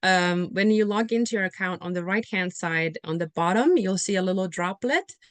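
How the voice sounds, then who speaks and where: A woman's voice: mid-range voice, moderate pace, no room sound. A woman from Finland, presenting in a webinar in a friendly, reassuring way with frequent fillers. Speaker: software company presenter.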